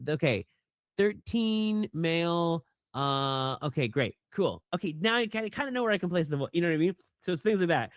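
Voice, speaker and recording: A man, a voice actor, chatting casually in an interview and talking animatedly, often jumping into a higher voice when he quotes people. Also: lively intonation, brisk, no room sound, clean studio sound. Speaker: voice actor guest.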